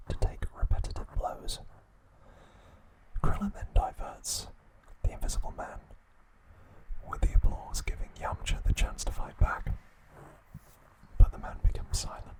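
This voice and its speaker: A man narrating in a breathy, unvoiced ASMR whisper, hushed and measured, in short phrases. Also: poor audio. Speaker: host of an ASMR trivia channel.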